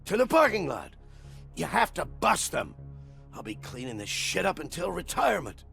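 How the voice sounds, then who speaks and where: A man's voice: a little high, huge pitch swings, normal speed, vocal fry, pushed and bright, faint music behind, full character voice. A man playing an aggrieved, foul-mouthed prison worker griping in a video game. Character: aggrieved, grumbling prison worker nearing exasperation.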